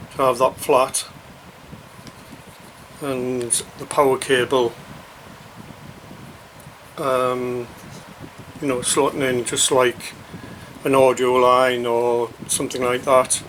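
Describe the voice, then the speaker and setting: A man giving a casual, unscripted unboxing commentary, thinking aloud in a halting, hesitant way as he reviews a product. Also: medium pitch, flat delivery, a bit bright, slight background noise, low-quality recording.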